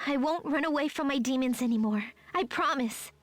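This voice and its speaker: An actress playing a teenage girl army medic in a video game, earnest, high-strung and tearful. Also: fairly quick, no room sound, natural voice. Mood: sincere, subdued. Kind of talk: dramatic video game voice acting.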